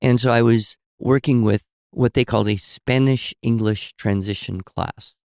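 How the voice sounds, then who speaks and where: A man co-hosting a podcast, telling stories in a relaxed conversational way and explaining with examples and asides. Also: medium pitch, a little slow, no room sound, clean studio sound. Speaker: podcast co-host. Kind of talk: conversational storytelling.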